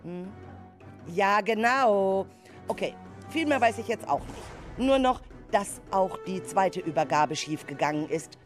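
A woman playing a worried, stern children's group leader in a children's radio play, with emphatic, exasperated delivery. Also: lowish voice.

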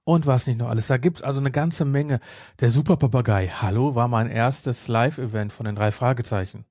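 A man's voice: mid-range voice, moderate pace, darkish tone, dry recording, studio quality. A man chatting casually as a podcast co-host, rambling and repeating his phrases. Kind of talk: casual chat.

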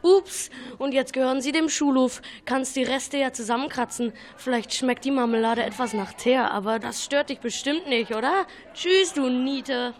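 A woman's voice: sits high, moderate pace, close to an everyday voice. A female-sounding young performer playing a mocking schoolboy bully in a German radio play. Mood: gloating.

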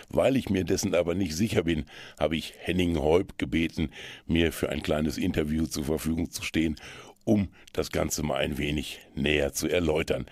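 A man presenting and interviewing on radio in a measured, polite, scripted style. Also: extremely low pitch, darkish tone, dry recording, clean studio sound.

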